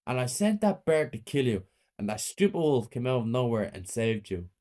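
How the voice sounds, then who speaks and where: A man's voice: medium pitch, very animated, moderate pace, a little mellow, natural voice. Villain: man voicing a cruel mother, menacing, gloating, swooping intonation. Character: cruel murderous mother, cold and taunting.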